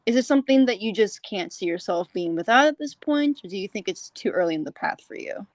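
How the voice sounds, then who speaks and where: A woman's voice: medium pitch, expressive, brisk, no echo. A woman hosting a casual conversational interview, chatty and rambling in the way she talks. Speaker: podcast host and interviewer.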